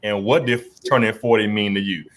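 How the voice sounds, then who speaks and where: A man's voice: on the low side, fairly even intonation, a little fast, a bit bright. A man in his forties hosting a casual conversation, laid-back and slangy, often repeating words as he talks. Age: 40s. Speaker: podcast host.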